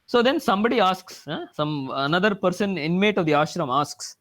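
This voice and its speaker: High-voiced man, rambling lecture. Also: lively intonation, brisk, a bit bright. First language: Tamil. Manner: rambling, filled with "you know" and restarts. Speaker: mathematics professor giving a talk. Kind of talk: lecture-style talk.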